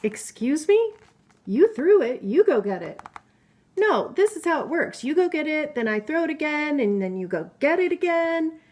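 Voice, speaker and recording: A woman doing a squeaky bossy little dog. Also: sing-song, normal speed, a little mellow, lo-fi sound. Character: small pushy dog who thinks it's a horse.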